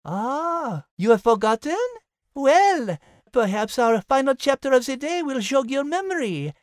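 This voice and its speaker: Elegant French female character host for a story podcast, high, animated, gently teasing. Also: unhurried, no echo, clean studio sound. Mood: poised, teasing. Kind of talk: scripted podcast character hosting.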